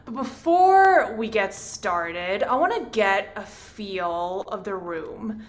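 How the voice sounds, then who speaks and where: A woman's voice: mid-range voice, big pitch swings, takes it slowly. A woman from Hong Kong moderating a virtual panel, upbeat and chatty.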